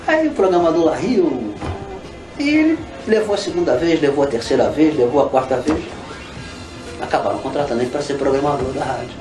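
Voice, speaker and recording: A man's voice: a little high, lively intonation, a little fast. Distant, echoey Brazilian man recalling anecdotes. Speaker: interviewee recalling radio history. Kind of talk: spontaneous anecdotal recollection. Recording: roomy, distant interview with music.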